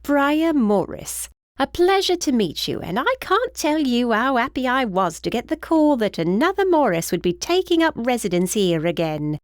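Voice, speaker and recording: A woman's voice: fairly high pitch, very animated, normal speed, hardly any pauses, dry recording, clean studio sound, pitched above the performer's own voice. A woman voicing a short, plump, cheerful older housekeeper with a British accent and a rambling, effusive, fussy manner in an audiobook. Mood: cheerful, welcoming.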